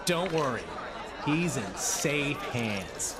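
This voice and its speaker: An actor playing an easygoing, cocky space hero in a video game, casual and flippant. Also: male voice, medium pitch, sing-song, drawn-out words, over a noisy crowd, very noisy. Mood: laid-back. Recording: game audio under loud music.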